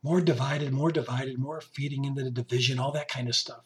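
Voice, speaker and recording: A man hosting his podcast in casual monologue, his voice hoarse and raspy, rambling and joking as he talks to his musician. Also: mid-range voice, fairly even intonation, a little fast. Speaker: podcast host. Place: basement home studio.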